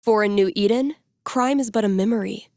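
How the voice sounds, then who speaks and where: A woman plays a young woman with a wry, sardonic streak, a character from a video game. She talks in loose slang like an easygoing music geek, at a middling pitch. The sound is very dry, with no room around the voice. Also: brisk, a little mellow, no room sound, close to an everyday voice. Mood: theatrical narrating. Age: young adult.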